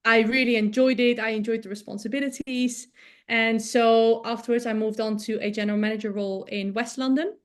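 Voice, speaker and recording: A woman's voice: on the high side, fairly even intonation, a little fast. A woman in her thirties from the Netherlands, a native Dutch speaker, telling her story casually as an interview guest. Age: 30s. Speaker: interview guest, business founder.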